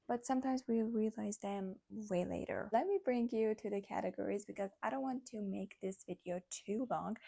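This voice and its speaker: A woman's voice: mid-range voice, creaky, darkish tone, breathy edge. A young woman in her twenties with an Armenian accent, speaking English as a third language in a chatty, candid vlog, laughing often. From Armenian, living in Iran. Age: 20s. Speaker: vlogger. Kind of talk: casual talk to camera.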